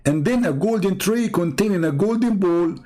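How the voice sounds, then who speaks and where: A man's voice: sits high, expressive, normal speed, mellow. A man with an Arabic accent and Arabic as his first language, hosting a live stream and reading texts aloud with sarcastic, needling commentary. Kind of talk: mocking commentary while reading religious texts.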